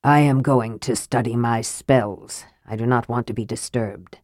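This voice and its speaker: A woman's voice: a bass voice, a little slow, darkish tone, no echo, studio quality, pitched below the performer's own voice. A woman narrator playing a haughty, irritable male mage in a fantasy audiobook, cold and disdainful.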